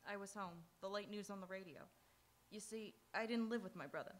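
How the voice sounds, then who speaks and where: A woman's voice: medium pitch, fairly quick, natural voice. A woman playing a brazen, bitter young noir suspect in a live radio drama, frank and coolly callous. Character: cool, bitter, brazen female murder-victim's sister.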